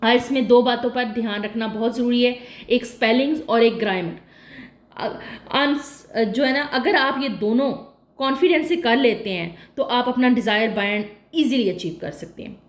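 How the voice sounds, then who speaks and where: A woman's voice: fairly high pitch, moderate pace. Explaining woman in an echoey room. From Pakistan. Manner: explanatory, asks and answers her own questions. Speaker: test-prep tutor. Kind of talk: casual spoken tutorial.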